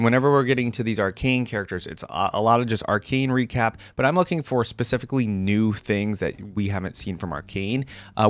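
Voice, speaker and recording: Low-voiced man giving casual reaction commentary. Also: dry recording. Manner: informal, addresses viewers, checks in with "right?". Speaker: video creator reacting to game lore. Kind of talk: casual reaction commentary, reading aloud with asides.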